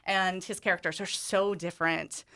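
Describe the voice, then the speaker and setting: A woman's voice: on the low side, steady tone, moderate pace, sharp tone, smooth. A woman speaking enthusiastically and emphatically as a guest director in a casual interview.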